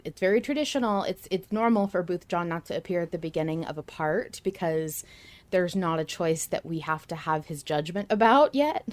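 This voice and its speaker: A woman's voice: on the low side, a little mellow. A woman hosting a casual fan discussion podcast, speaking with enthusiasm as she comments and reads out remarks. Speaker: podcast host.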